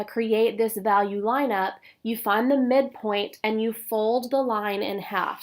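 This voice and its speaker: A woman with a stuffy nose from a cold, giving a recorded professional development talk for teachers with clear, paced explanation and frequent "um"s. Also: medium pitch, darkish tone. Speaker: instructional coach.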